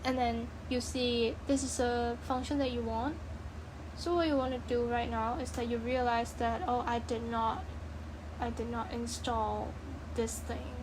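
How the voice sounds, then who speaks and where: A woman with a fairly high voice walks through a screen-share coding tutorial. She thinks aloud informally and bluntly, rambling and cutting herself off. Clear background noise runs behind her voice. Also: fairly even intonation, unhurried.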